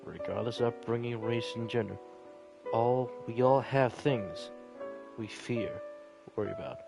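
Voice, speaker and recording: A man reading a visual novel aloud as dramatic narration, halting now and then over the text. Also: mid-range voice, steady tone, vocal fry. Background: game music.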